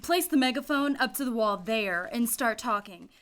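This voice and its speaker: Teasing female swindler's accomplice played by a woman for radio play, higher-pitched and businesslike. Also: animated, moderate pace, fairly bright tone. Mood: wry, businesslike.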